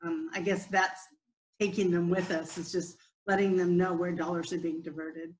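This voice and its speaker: A woman's voice: lowish voice, flat delivery, normal speed, poor audio. A woman giving an informal, unscripted update during a work conference call. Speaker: nonprofit representative. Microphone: remote conference call.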